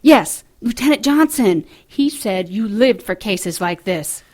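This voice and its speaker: A woman's voice: medium pitch, lively intonation, measured pace, darkish tone. An actress playing an anxious, pleading mother in a radio drama, earnest and insistent.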